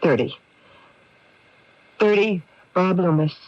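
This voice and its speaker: A woman's voice: lowish voice, takes it slowly, darkish tone, dry recording. Worldly middle-aged newspaperwoman, muffled radio. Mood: solemn. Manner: theatrical, emphatic, repeats words. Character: middle-aged newspaperwoman, worldly, evasive, sharp-tongued, smoothly self-justifying. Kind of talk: 1980s radio mystery acting. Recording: dull, dry lo-fi radio broadcast.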